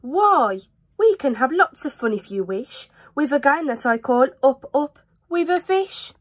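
Woman as a playful trickster cat, highish voice, boastful, confident, showy, storybook rhyme. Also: animated, unhurried. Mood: reassuring, boastful. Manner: showy, exclaiming, rhythmic rhyme. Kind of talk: children's storybook read-aloud.

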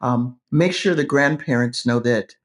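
A woman's voice: extremely low pitch, a little slow. A middle-aged woman, a professor co-hosting, speaking earnestly and in winding sentences over a video call from a room with bookshelves. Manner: earnest, winding, many fillers. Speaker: seminary professor and podcast co-host. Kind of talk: conversational discussion. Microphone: webcam on video call.

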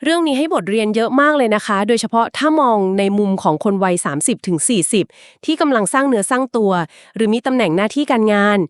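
A woman's voice: fairly high pitch, animated, no echo. Woman retelling a legend, dry close sound. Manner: explains at length with polite particles and filler pauses. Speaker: podcast co-host. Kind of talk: conversational storytelling of a folk legend.

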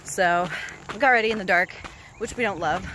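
A woman's voice: mid-range voice, moderate pace. Casual female hiker, noisy outdoor recording. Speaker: vlogger hiking a long trail. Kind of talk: casual chat to camera. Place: outdoors on a hiking trail.

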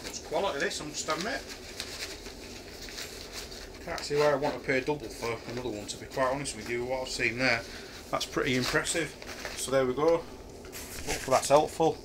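A man's voice: medium pitch, a little fast, noisy background. A middle-aged man with a Yorkshire accent casually reviewing a camping chair in his kitchen, hesitating and thinking aloud with many ums. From Yorkshire, England. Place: home kitchen, indoors. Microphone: camera a few feet away.

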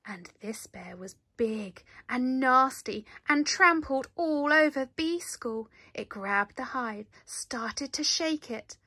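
A woman reading a children's story aloud in an animated way, with dramatic emphasis. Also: sits high, slow pace, few pauses, dry recording. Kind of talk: expressive picture-book read-aloud.

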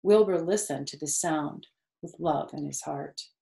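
A woman in her fifties reading a children's story aloud, measured and explanatory. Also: sits low, vocal fry, dark tone. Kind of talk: read-aloud storybook narration. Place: living room sofa, indoors. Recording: roomy, echoey indoor sound.

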